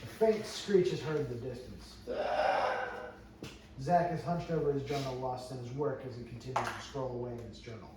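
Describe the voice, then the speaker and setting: A man's voice: medium pitch, moderate pace. A man reading stage directions aloud at a script table read, as narrator. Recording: very echoey room, distant mic, noisy.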